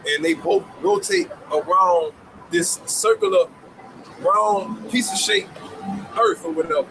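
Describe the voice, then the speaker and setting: High-voiced man arguing on a noisy street. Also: measured pace. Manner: reasoning aloud, slangy, emphatic. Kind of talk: casual argument.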